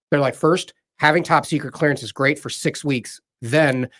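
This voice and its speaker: A man's voice: a little high, moderate pace, fairly bright tone. A man appearing as a guest doctor in a radio studio interview, telling stories in a chatty, self-deprecating way. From Philadelphia area, USA. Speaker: guest, medical director. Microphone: studio microphone.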